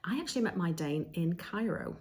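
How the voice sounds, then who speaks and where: A woman in her fifties from England, telling her story in a relaxed, chatty podcast conversation with frequent ums. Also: on the low side, brisk. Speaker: podcast co-host.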